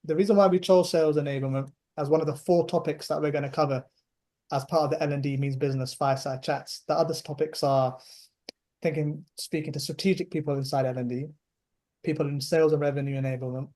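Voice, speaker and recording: Mellow-voiced host, stumbling and jokey. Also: male voice, a little high, fairly even intonation, quick pace. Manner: stumbling, repeats words, jokey. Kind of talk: hosting a webinar fireside chat.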